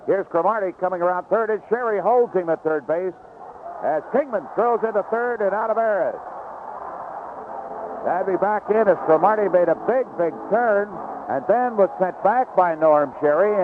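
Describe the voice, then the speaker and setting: A man calling live baseball play-by-play on the radio in clipped, unhurried descriptive phrases. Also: sits high. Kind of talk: live radio sports commentary. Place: outdoor ballpark broadcast position. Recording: vintage 1970s radio broadcast, lo-fi, noisy.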